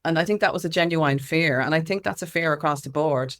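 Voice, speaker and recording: A woman's voice: deep voice, fairly quick. A woman with an Irish accent speaking informally and emphatically as an interview guest, telling anecdotes and acting out what others said. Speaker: interview guest, music consultant and campaigner. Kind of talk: conversational interview with animated anecdotes.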